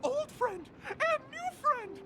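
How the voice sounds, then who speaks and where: Squeaky, gleeful little clock creature. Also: female voice, big pitch swings, fairly bright tone, slight background noise, exaggerated voice. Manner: squeaky, drawn-out, gleeful exclamations. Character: tiny, exuberant, friendly cartoon clock creature. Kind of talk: cartoonish video game voice acting.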